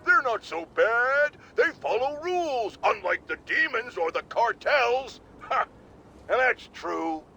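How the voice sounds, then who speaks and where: An actor playing a pompous, self-important older radio pundit with an American accent, ranting emphatically in a video game. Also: male voice, extremely high pitch, big pitch swings, slow, pushed and bright, faint music behind, full character voice. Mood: ominous, scornful. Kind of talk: radio talk show rant.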